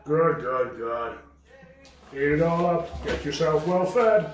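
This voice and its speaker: A man's voice: fairly high pitch, animated, takes it slowly. A man voicing a big, greedy, doting dragon dad in an improvised puppet show, hammy and relishing his words. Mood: fatherly. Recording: roomy, echoing, distant from the mic.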